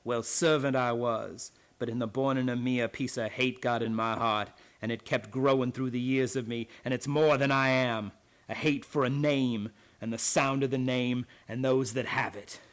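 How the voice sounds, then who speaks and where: An actor playing a bitter old servant in a radio drama, speaking in deliberate, folksy, menacing phrases. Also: male voice, mid-range voice, a bit bright, close to an everyday voice. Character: bitter old servant boatman, gloating with lifelong hatred.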